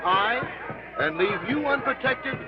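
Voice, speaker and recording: Give me a teasing young gentleman, fairly high voice, on a dull noisy old movie track. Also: animated, takes it slowly, over a noisy crowd, heavily acted. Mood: playful. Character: young male gentleman at the fair, playful and gallant. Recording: noisy lo-fi old film soundtrack.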